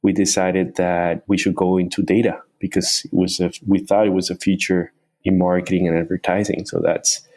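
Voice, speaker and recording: Low-voiced man. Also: flat delivery, normal speed, a little mellow. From Colombia. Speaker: guest, company founder. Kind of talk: interview answers.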